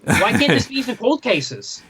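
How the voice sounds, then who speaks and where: A man's voice: very high voice, very animated, moderate pace, a bit bright, breathy edge. A man co-hosting a casual discussion podcast, thinking aloud in broken, questioning phrases. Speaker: podcast co-host. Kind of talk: casual discussion of a graphic novel.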